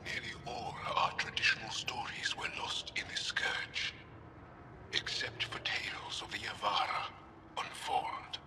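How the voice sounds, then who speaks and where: A man's voice: lowish voice, little pitch movement, a little slow, lightly acted. An actor playing a passionate, protective male alien warrior who speaks earnestly and grows heated, in noisy game audio.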